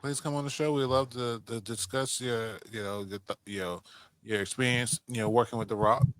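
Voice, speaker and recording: A man with a bright, punchy voice chats as a podcast co-host. He is informal and slangy, doubling back on phrases, in a very dry recording. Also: mid-range voice, fairly even intonation, a little fast, dry recording. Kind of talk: casual movie discussion chat.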